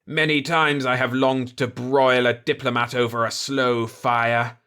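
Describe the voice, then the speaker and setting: A man's voice: medium pitch, little pitch movement, measured pace, sharp tone, clear, resonant tone, pitched above the performer's own voice. Man acting a pompous, menacing desert potentate. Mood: outraged, menacing. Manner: florid, theatrical threats.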